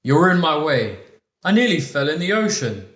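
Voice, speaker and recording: A man voicing a great, boastful male monkey who booms and mocks a tiny squirrel. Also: big pitch swings, normal speed, fairly bright tone, echoey room. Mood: mocking. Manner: booming, scornful and teasing.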